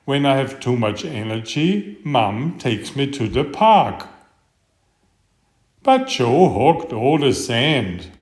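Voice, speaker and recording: A man voicing an eager, earnest young boy in a children's storybook, with an excitable manner. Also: medium pitch, takes it slowly, reverberant. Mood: explaining.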